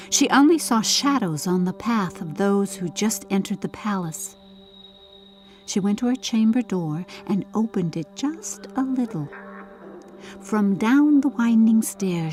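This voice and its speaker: Female audiobook narrator for fairy tales: mid-pitched, very measured and slow, expressive, over background music. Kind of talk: scripted storybook narration.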